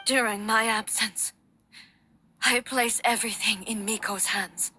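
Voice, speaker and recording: A woman playing a solemn, resolute female deity-warrior ruler in a game, speaking formally in measured, stately phrases. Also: a little high, fairly even intonation, very noisy, natural voice. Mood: solemn oath. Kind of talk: scripted dramatic game dialogue.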